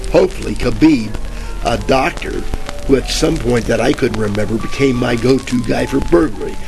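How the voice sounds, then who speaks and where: An actor playing a bumbling, wisecracking 1940s private detective in a radio parody, rambling along in deadpan style. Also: male voice, medium pitch, vocal fry, lightly acted. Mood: puzzled narration. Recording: crackly old-time radio static, music behind.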